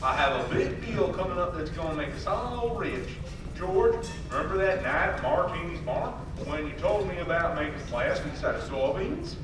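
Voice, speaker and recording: A hearty, teasing young businessman played by a student man in an old-time radio play. His voice sits high for a male. It comes from far off in a very echoey, noisy hall. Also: lively intonation, moderate pace, natural voice. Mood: confident pitching. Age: teenager or young adult. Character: brash, jovial businessman pal Sam. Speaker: student actor.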